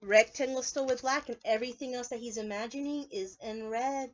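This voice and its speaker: A woman preschool teacher reading a storybook aloud to young children in an animated, instructive way, often putting questions to her listeners. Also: on the high side, fairly quick, highs cut off.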